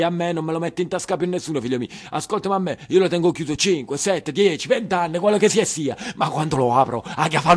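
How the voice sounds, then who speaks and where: A man playing a bossy, blustering small-town official in a comic theatre monologue, haranguing in colloquial, patronising speech with a southern Italian accent. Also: high voice.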